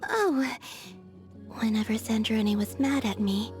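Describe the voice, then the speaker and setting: Soft, high-voiced serene moon maiden played by an actress. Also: female voice, lightly acted. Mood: quiet, curious. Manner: gentle, unhurried, wistful. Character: serene, lonely young moon maiden, gently otherworldly. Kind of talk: scripted video game character dialogue. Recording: studio voice over background music.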